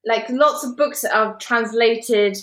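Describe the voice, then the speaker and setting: A woman's voice: a little high, takes it slowly, reverberant. A woman hosting an interview podcast, enthusiastic and encouraging, with many "like" and "you know" fillers. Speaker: podcast host. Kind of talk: interview conversation.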